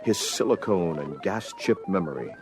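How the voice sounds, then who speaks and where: Deep-voiced male dramatic story narrator. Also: normal speed, over music. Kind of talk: dramatic scripted story narration for audio adventure record.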